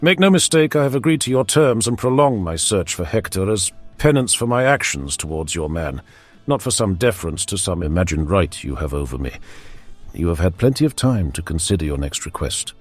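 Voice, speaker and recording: Man as a stern, proud low-voiced warrior. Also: big pitch swings, soft background music. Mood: defiant, cold. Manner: measured, formal, unyielding.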